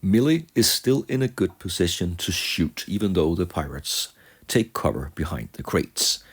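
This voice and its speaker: A man narrating a tabletop wargame play by play in measured, deliberate short phrases. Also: low-pitched, very animated, slightly rough. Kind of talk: play-by-play narration of miniature game moves.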